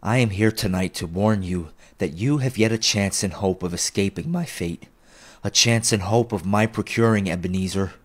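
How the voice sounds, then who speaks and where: A man's voice: fairly low pitch, normal speed, natural voice. A man voicing the anguished, remorseful ghost of a dead businessman in a storybook reading, declaiming in a solemn wail. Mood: solemn warning. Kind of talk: dramatic classic storybook reading.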